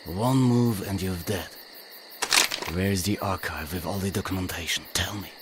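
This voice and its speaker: Terse, threatening Soviet squad soldier male actor, low voice, war game, heavy background noise. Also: moderate pace, close to an everyday voice. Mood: cold, threatening.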